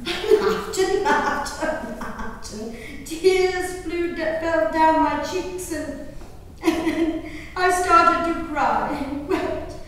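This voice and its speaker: A woman acts out a wayward, grieving colonial lady reading her diary theatrically in a high voice. Her delivery is deliberate and emotive, with drawn-out words. She is heard from far off in a big, heavily reverberant hall. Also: a bit bright, heavily acted. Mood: hysterical, tearful. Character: grieving, wayward colonial-era gentlewoman and mother, outwardly dutiful. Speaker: stage performer. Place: live performance in a large echoey room. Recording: echoey hall, distant mic, faint noise.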